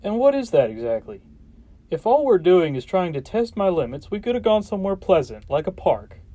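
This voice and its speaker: A man's voice: high-pitched, sing-song, fairly quick, dark tone, no room sound. An actor playing a sarcastic, skeptical man, a reluctant chosen one, in an audio drama, talking with exasperation. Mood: sarcastic, exasperated. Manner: sardonic, exasperated.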